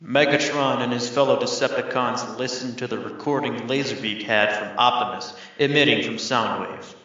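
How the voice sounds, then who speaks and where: A man's voice: mid-range voice, normal speed, bright, forceful tone. A man reading scripted third-person narration for an audio drama. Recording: very echoey, slightly muffled.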